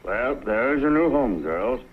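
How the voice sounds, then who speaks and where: An actor playing a terse, unfriendly household servant in a 1960s B-movie. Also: male voice, medium pitch, expressive, slow pace, slightly rough. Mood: curt. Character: terse, unfriendly male servant of a rich woman. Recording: slightly noisy, muffled old film soundtrack.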